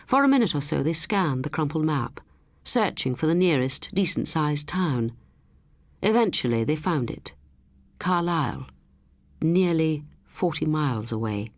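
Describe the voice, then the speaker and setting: Woman narrating suspense, lively and low-pitched. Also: a little slow, no room sound. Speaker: audiobook narrator. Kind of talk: scripted dramatic narration of a suspense story.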